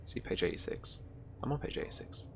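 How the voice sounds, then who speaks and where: A man running a tabletop roleplaying game, narrating scenes and explaining rules in a halting, thinking-aloud way. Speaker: game master. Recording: noticeable background noise.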